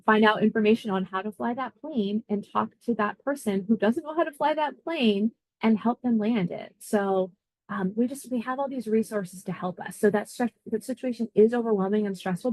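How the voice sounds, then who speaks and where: A woman's voice: mid-range voice, moderate pace, darkish tone, no room sound, poor audio. A woman being interviewed as an air traffic controller, explaining her job conversationally and thinking aloud as she goes. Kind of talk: informal career interview answers.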